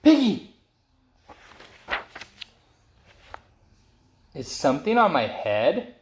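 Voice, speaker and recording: A man voicing an anxious, excitable, easily exasperated elephant from a children's storybook, with dramatic, fretful exclamations. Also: on the high side, normal speed, a little hiss or hum. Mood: alarmed. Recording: roomy echo.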